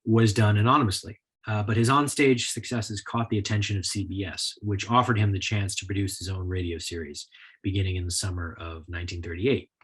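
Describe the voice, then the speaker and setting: A man giving a prepared history lecture in a measured, explanatory style. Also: on the low side, fairly bright tone, slightly rough. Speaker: lecturer, author.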